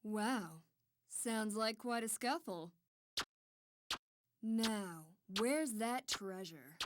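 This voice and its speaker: Cocky, unhurried young thief. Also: female voice, on the high side, lively intonation, background noise. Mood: breezy. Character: cocky, easygoing young female thief in a video game. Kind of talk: video game voice acting. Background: game music.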